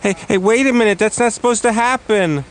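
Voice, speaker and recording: A man's voice: high-pitched, brisk, lightly acted. An actor playing a bumbling, eager male court stenographer in a cartoon comedy, hapless in manner. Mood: eager, sheepish. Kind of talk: animated comedy dialogue.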